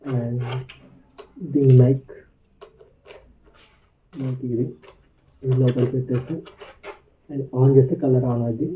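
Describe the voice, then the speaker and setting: A man with a Telugu accent doing a casual, unscripted product walkthrough in a hesitant, halting way, mixing in English words. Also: mid-range voice, flat delivery, warm tone, dull audio, slight background noise. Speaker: vlogger presenting a product unboxing.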